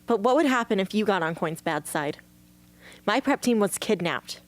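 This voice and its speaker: A woman's voice: mid-range voice, expressive, moderate pace, close to an everyday voice. A woman playing a defiant, guarded young rebel hunter in an amateur radio drama, speaking in a blunt, challenging way. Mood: defiant, warning. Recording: faint hum and background noise.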